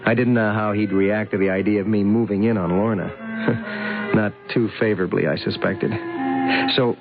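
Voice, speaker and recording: An actor playing a smitten, scheming suitor in a vintage radio drama, with an intense, ingratiating and hesitant manner. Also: male voice, on the low side, fairly even intonation, moderate pace, over loud music, close to an everyday voice. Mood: sly, confiding. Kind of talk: vintage radio drama acting and first-person narration. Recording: tinny band-limited old radio recording.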